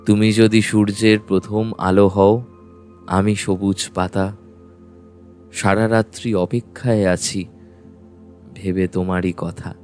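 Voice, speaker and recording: A man's voice: lowish voice, little pitch movement, slow, long pauses, a little mellow. A man playing a lovelorn letter writer in an audio story, reading poetically and wistfully. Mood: tender, wistful. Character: lovelorn man voicing his unsent love letter. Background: music.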